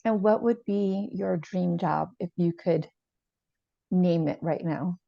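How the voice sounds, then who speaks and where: A woman playing a calm, probing therapist in a role-play, measured and tentative as she reflects back. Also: on the low side, vocal fry, no room sound, highs cut off, exaggerated voice. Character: calm, probing female therapist leading a session.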